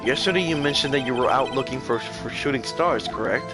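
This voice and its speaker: A man's voice: medium pitch, a little fast, music in the background, lightly acted. A man voicing an earnest, dogged young male defense lawyer from a video game, pressing with pointed questions. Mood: insistent. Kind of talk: video game character voice-over.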